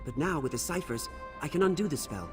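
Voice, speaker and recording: A man's voice: a little high, animated, fast, music in the background, close to an everyday voice. A man playing an anxious, flustered scholarly archivist in a video game, explaining things earnestly. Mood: relieved, earnest. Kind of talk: video game character dialogue.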